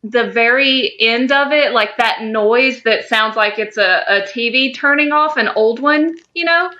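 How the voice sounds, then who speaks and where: A woman's voice: on the high side, measured pace, pushed and bright, dull audio. A woman co-hosting a podcast, chatting casually in a giggly, rambling, informal way with some swearing. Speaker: podcast co-host. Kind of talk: casual fan chat.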